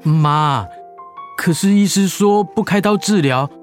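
Anxious, courteous grown son, fairly high voice, radio drama with faint music. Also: male voice, slow. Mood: urging. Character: polite, anxious adult son of an elderly patient. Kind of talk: Mandarin radio drama acting.

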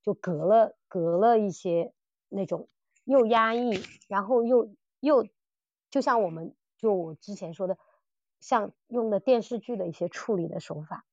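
A woman co-hosts a casual review podcast in a middle-pitched voice. She talks in a halting way, doubling back on words as she works out her point. The sound is close and dry, a little dull and lo-fi. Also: a little mellow, no room sound. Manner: halting, repeats words and restarts often. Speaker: podcast host. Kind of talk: casual chat reviewing audio dramas.

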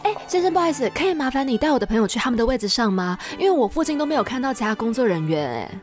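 A woman's voice: fairly high pitch, very animated, racing, faint music behind. A woman with a Taiwanese Mandarin accent playing a sweet, coy, polite young pianist in a podcast radio drama. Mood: polite, animated. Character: sweet, modest, teasing young female pianist.

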